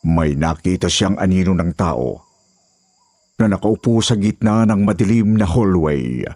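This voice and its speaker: A man's voice: low-pitched, darkish tone, breathy edge, dry recording. A man reading a scripted horror story as its narrator. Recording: music behind the voice.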